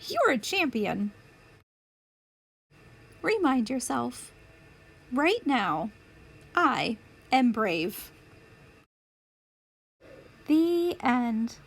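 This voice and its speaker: A woman reading a picture book aloud as a storytime host, with deliberate, clear phrasing for young children. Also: fairly high pitch, sing-song. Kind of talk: children's read-aloud narration.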